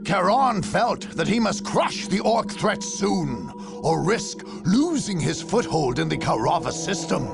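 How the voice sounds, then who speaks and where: An actor playing a grave, menacing war chronicler, narrating a game theatrically with a gravelly voice. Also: male voice, high voice, sing-song, loud music behind the voice, heavily acted. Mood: ominous. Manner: theatrical, deliberate storytelling. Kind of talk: dramatic scripted game narration.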